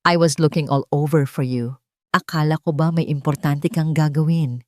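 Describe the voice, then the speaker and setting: Woman narrator as spoiled rich Filipino fiancée for romance audio drama: low-pitched, condescending, sweet on top, venom underneath. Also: steady tone, moderate pace, a little mellow, dry recording, clean studio sound, close to an everyday voice. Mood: sugary, poisonous. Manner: condescending, mixing English into Tagalog.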